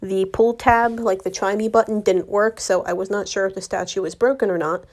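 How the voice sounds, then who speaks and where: A young woman with a slightly raspy voice from a recent cold, chatting casually to viewers as she narrates her decorating vlog. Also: medium pitch, steady tone, fairly quick, darkish tone. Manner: chatty, friendly, addresses viewers directly. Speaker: home decor vlogger.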